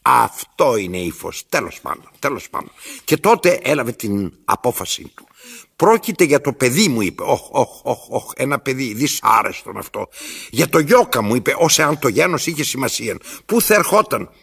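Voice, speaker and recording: An actor playing an imperious, pompous old tyrant in a radio play, declaiming with self-interrupting asides in a gravelly voice. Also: male voice, mid-range voice, sing-song, fairly bright tone, heavily acted. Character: imperious, theatrical old male tyrant telling his story. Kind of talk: radio theatre acting.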